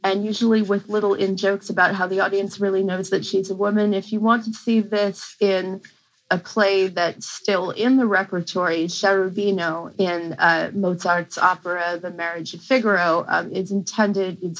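A woman's voice: on the low side, level tone, moderate pace, rough recording. A woman professor giving a conversational academic explanation as an interview guest, with informal asides.

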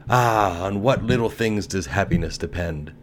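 A man's voice: low-pitched, moderate pace, slight background noise, natural voice. A man voicing a lovesick young male student in a theatrical, lamenting storybook manner. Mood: despairing, yearning.

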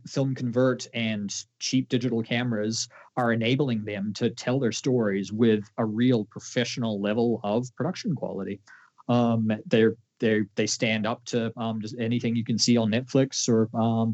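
A man's voice: mid-range voice, a little mellow. A man as a guest in an interview, thinking aloud with many ums. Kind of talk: conversational interview. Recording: lo-fi, muffled audio.

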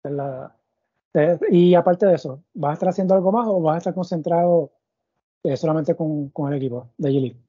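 A man hosts a sports interview, circling his questions with fillers and restarts. His voice is very dark and husky. The recording is dry and a little muffled. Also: fairly high pitch, brisk, dry recording. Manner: thinks aloud with many fillers and restarts. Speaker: podcast host. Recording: dull, muffled audio.

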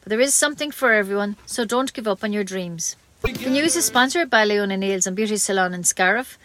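An Irish woman reading scripted local community radio news in a measured, careful read-aloud manner. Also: mid-range voice, lively intonation, a bit bright, a little hiss or hum.

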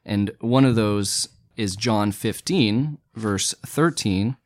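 A man on a Bible study podcast, explaining Greek word roots in a measured, teacherly way. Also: lowish voice, no room sound, clean studio sound. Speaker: podcast co-host.

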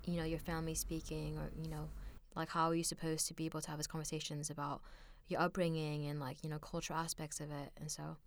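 A woman's voice: low-pitched, little pitch movement, fast, hardly any pauses. A young woman from France, a podcast guest, telling stories in casual conversation with many filler words. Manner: chatty, lots of "like" fillers.

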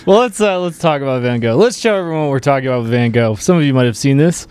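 A man's voice: mid-range voice, fast talker, smooth. A man hosting a podcast with casual, teasing, joking banter. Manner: animated, teasing. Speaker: podcast host.

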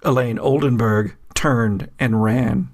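A man narrating a gothic tabletop horror game as game master, measured in description and hesitant when he turns to the players. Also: mid-range voice, fairly even intonation.

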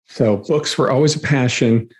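A man's voice: medium pitch, normal speed. A man hosting a podcast, reading a scripted introduction and then interviewing a guest. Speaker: podcast host.

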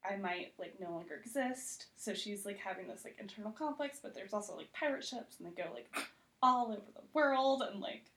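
A woman talks as a guest on a casual podcast. Her voice sits at a middle pitch and her manner is loose, giggly and conversational, full of "like" as a filler. The recording is roomy and echoey. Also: animated, brisk, fairly bright tone. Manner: conversational, heavy use of "like", giggly.

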